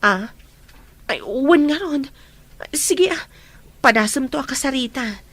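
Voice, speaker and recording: A woman's voice: sits high, expressive, unhurried, fairly bright tone, no echo, exaggerated voice. A woman with an Ilocano accent acting a concerned young best friend in an audio drama. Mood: surprised, worried.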